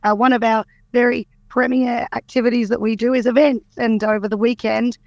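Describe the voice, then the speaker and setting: An Australian woman who runs a tourism organisation, answering radio interview questions in an upbeat, promotional way with a croaky voice. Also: fairly high pitch, little pitch movement, fairly quick, a little mellow, no room sound, dull audio. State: croaky voice after a weekend event.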